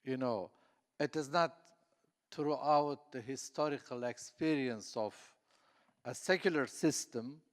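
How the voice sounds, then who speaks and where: A man's voice: mid-range voice, unhurried. A man from southeast Turkey, speaking on a conference panel with many fillers and restarts. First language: Kurdish. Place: conference hall. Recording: echoey conference hall.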